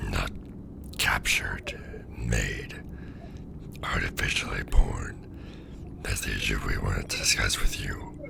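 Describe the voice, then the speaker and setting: Cavernous, growling alien symbiote monster. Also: male voice, fairly even intonation, in a whisper, full character voice. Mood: grave, menacing. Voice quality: very deep, gravelly growl. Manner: slow, clipped, speaks as "we". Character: alien symbiote monster, blunt, hungry, sharing a host's body. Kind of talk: ASMR roleplay drama.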